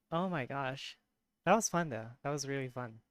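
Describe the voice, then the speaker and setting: A live streamer with a tired, hoarse voice, chatting casually with viewers, giggling often and correcting themself mid-sentence. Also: mid-range voice, no room sound, studio quality. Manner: giggly, laughs often between remarks; rambling, self-correcting. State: voice worn and hoarse from long streaming.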